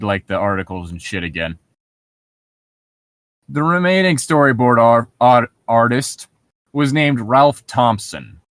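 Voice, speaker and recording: A man co-hosting, reading internet horror stories aloud with casual commentary in a halting, self-correcting manner. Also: mid-range voice, a bit bright, dry recording.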